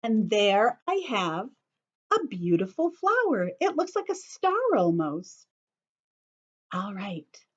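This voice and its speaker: A woman's voice: medium pitch, very animated, slow, muffled recording. A woman giving young children a step-by-step craft lesson, explaining patiently in simple words with a sing-song delivery and frequent check-in questions, recorded on a computer microphone. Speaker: children's teacher hosting an online story time. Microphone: computer's built-in camera and microphone.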